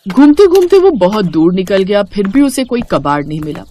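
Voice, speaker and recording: A woman's voice: medium pitch, big pitch swings, a little fast, warm tone, slight background noise. A woman narrating a cartoon moral story in a scripted storytelling style.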